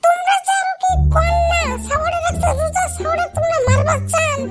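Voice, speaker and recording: A man's voice: very high voice, fairly even intonation, fairly quick, loud music behind the voice, pitched above the performer's own voice. Shrieking, furious cartoon mother voiced by a man. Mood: outraged. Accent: northern Bengali. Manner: shrieking, nagging. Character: shrill, furious cartoon mother defending her son. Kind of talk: comedy cartoon voice acting.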